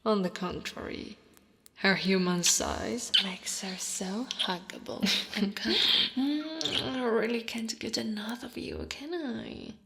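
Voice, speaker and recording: A woman from Italy voicing a short female orc guard in intimate ASMR roleplay, gently dominant and doting, soft and breathy with slips into whispering. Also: a little high, slow pace, slight background noise, full character voice. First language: Italian.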